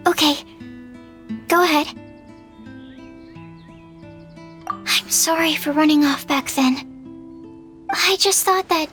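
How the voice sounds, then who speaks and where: A woman's voice: high-pitched, lightly acted. A woman voicing a shy, polite young female alchemist in a video game, hesitant and earnest. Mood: shy, apologetic. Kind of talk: video game character voice acting.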